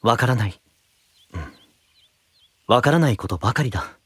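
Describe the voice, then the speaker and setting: Breathy, thoughtful young knight. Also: male voice, mid-range voice, animated, dry recording. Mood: reflective. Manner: soft, measured, reflective explaining. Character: calm, thoughtful young male knight, secretive but gentle. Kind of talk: anime-style voice drama acting.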